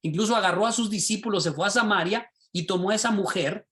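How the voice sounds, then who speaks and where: A man, a pastor and teacher, teaching a class over a video call in a lively, sermon-like way, often asking his listeners rhetorical questions. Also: high-pitched, quick pace. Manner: animated, rhetorical questions, asks the class directly.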